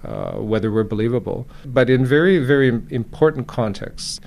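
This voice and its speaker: Man, academic interviewee, medium pitch, halting phrasing, emphatic repetition, explanatory tone. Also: creaky, darkish tone. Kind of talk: spontaneous expert interview.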